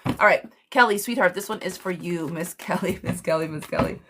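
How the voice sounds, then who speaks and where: A woman with a hoarse, smoky voice, doing a chatty live tarot reading while sick with a cough. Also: on the low side, fairly quick, a bit bright, a little hiss or hum. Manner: chatty, reassuring, calls listeners girlfriend. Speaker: tarot reader.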